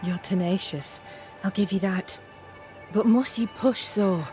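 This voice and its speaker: A woman's voice: mid-range voice, a little slow, warm tone, soft background music, lightly acted. An actress playing a guarded, reluctant colonial woman in a video game, speaking in a terse, wary manner. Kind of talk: scripted video game cutscene acting.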